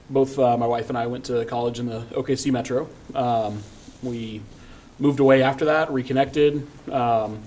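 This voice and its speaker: A man from Oklahoma running for council, speaking hesitantly with many pauses. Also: mid-range voice, a little mellow. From Tulsa, Oklahoma. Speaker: council candidate.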